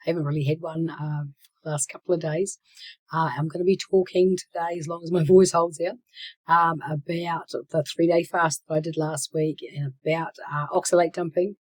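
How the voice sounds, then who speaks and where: A woman in her sixties talking casually to her channel, hoarse and croaky from a cold, with many fillers and restarts, sometimes dropping to a whisper. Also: deep voice, level tone, normal speed. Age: 60s. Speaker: channel host. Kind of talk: casual vlog talk.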